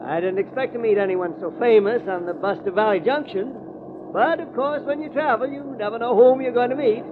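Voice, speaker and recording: A self-important businessman with a high voice and fussy, formal manners, always keen to chat. It comes through as a thin, noisy 1940s radio drama. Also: fairly quick, narrow, tinny audio. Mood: pompous, chatty. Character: self-important, chatty male finance company president.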